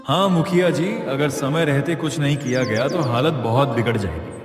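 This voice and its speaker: A man's voice: medium pitch, normal speed, close to an everyday voice. A man voicing a worried village man in a cartoon, urgent and earnest. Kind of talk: Hindi moral story cartoon dub. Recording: echoey reverb over faint music.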